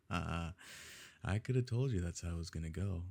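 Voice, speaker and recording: A man playing a smug, wealthy evil nobleman in an intimate ASMR roleplay, suave and condescending, with a gravelly, husky voice. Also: low-pitched, dark tone, full character voice. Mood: mocking, condescending. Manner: suave, condescending, leisurely formal phrasing.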